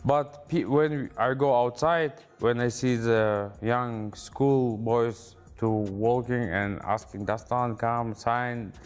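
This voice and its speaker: Man, coach, halting speech, fillers, faint music. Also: mid-range voice, steady tone, clear, resonant tone. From Kazakhstan. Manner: halting non-native English with many fillers and back-channel mm-hmms. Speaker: esports coach. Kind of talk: casual interview answers.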